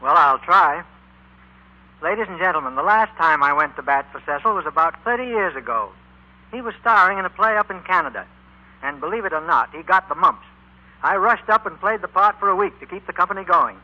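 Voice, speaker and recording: Male radio narrator, scripted 1940s delivery, high voice, muffled vintage broadcast. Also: expressive, fairly quick. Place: theater stage broadcast. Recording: dull 1940s radio recording with music. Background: orchestra music.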